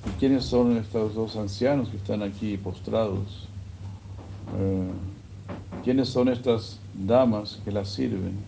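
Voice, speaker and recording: A man giving a sermon, retelling a scripture story in a slow, measured way. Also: fairly low pitch, steady tone, vocal fry, mellow, muffled recording, a little hiss or hum. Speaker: religious speaker.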